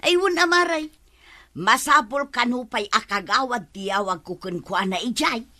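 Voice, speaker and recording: A woman's voice: a little high, unhurried, sharp tone. A woman playing an aggrieved village laborer in a radio comedy drama, complaining with an Ilocano accent.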